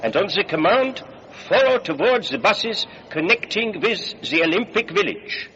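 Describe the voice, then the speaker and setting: An actor playing a stiff, formal German official with a German accent, making commanding public announcements. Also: male voice, high voice, animated, normal speed, bright, forceful tone, slight background noise. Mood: officious. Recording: muffled band-limited sound over crowd.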